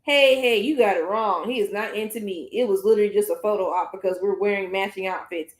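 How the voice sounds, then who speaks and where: A woman reading a gentle, comforting young woman. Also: mid-range voice, fast, echoey room, close to an everyday voice. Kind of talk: reading a novel aloud.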